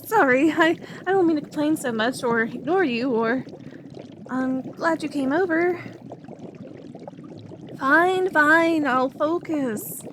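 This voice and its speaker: High soft-spoken lady playing a chatty, clingy alchemist girl, slow and dry. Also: background noise. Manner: chatty, rambling, then clingy and obsessive. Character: lovesick young woman alchemist, obsessive and sweet. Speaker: ASMR roleplay performer. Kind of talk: scripted audio roleplay.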